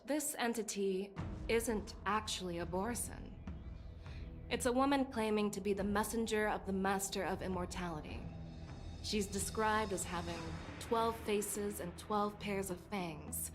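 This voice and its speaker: A woman's voice: mid-range voice, measured pace, music in the background, close to an everyday voice. Woman playing a composed, commanding female general. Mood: grave, briefing. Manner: assured, formal, commanding. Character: composed, confident female general, brisk and shrewd. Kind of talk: video game voice acting, story dialogue.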